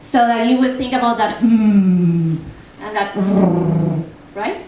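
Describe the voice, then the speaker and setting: A woman's voice: mid-range voice, slow pace, dark tone. A young woman from Argentina with a thick Argentinian Spanish accent, a native Spanish speaker talking casually on a translation panel and reading a poem aloud, with many ums and restarts. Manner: chatty, frequent ums and restarts. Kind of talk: panel talk with poetry reading. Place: festival event room. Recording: distant echoey room, faint noise.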